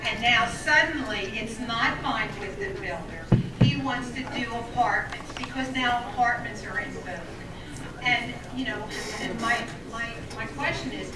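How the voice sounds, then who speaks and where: A woman giving public comment at a township council meeting, pressing pointed questions with halting restarts and a big voice. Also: fairly high pitch, a loud crowd. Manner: says she has a big voice. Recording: echoey room, distant mic, background noise.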